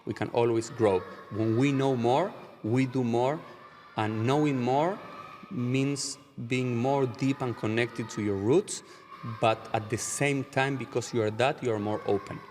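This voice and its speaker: A rabbi with an Argentine Spanish accent speaks English in a measured, reflective way, slowing down to weigh his words and checking in with an "okay?". His voice sits in the middle range for a man. A long reverb trails behind him, as if he were recording in a hall. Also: medium pitch, steady tone, a little mellow, a little hiss or hum. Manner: measured, slows down to stress key ideas, frequent "uh" and "okay?". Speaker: rabbi, guest. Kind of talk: reflective interview answers.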